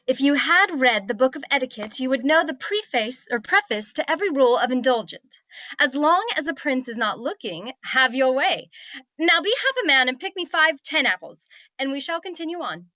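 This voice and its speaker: Woman doing an imperious princess, high sharp voice, very dry sound, declaiming at everyone. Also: expressive, moderate pace, dry recording. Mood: commanding. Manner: haughty, declamatory. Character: haughty, imperious young fairy-tale princess. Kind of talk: table read of a comedy fantasy script.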